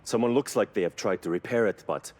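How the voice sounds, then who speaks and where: A man's voice: lowish voice, quick pace, darkish tone, close to an everyday voice. A man playing an earnest, knowledgeable car mechanic in video game cutscenes, explaining things with reverence for engines. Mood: thoughtful.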